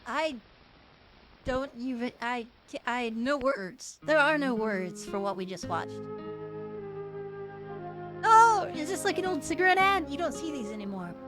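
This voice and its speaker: A woman's voice: high-pitched, very animated, a little slow, long pauses, fairly bright tone, soft background music. A woman hosting a livestream, giving casual comedic commentary in a mocking, joking way. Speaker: livestream host reacting to old films.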